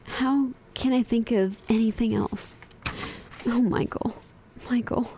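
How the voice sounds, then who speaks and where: A woman's voice: on the high side, steady tone, takes it slowly, dark tone, poor audio. A woman playing a kind, sensible young sweetheart with a wounded heart in a radio drama. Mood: hurt, yearning. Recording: clear background noise.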